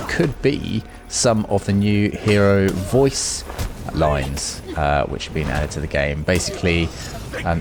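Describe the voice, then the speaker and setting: A man hosting a gaming video, giving casual, unscripted commentary and musing aloud as he goes. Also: low-pitched. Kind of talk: casual off-the-cuff gameplay commentary.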